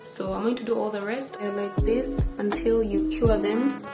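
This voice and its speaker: A woman's voice: medium pitch, steady tone, normal speed, over loud music. A woman, a Luganda speaker, chatting casually and playfully in a lifestyle vlog. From Uganda. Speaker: vlogger. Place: indoors at home. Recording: echoey room with clear background noise.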